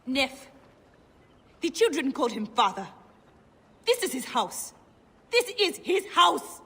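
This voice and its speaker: A woman's voice: high voice, sing-song, takes it slowly, bright, forceful tone, long reverb tail, full character voice. An actress playing a bitter, wounded woman hungry for revenge in a dramatic video game.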